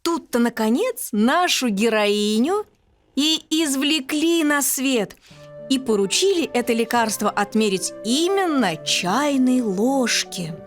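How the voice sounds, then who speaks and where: A woman storyteller narrating a children's bedtime tale in an expressive, articulate way. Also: sits high, takes it slowly, faint music behind.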